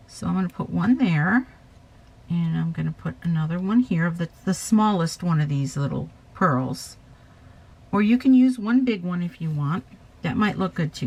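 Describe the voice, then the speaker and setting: A woman with a slight hoarseness from a cold, chatting her way through a paper craft tutorial at her table and correcting herself as she goes. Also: fairly low pitch, a little mellow, poor audio. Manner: chatty, talks while working, self-correcting.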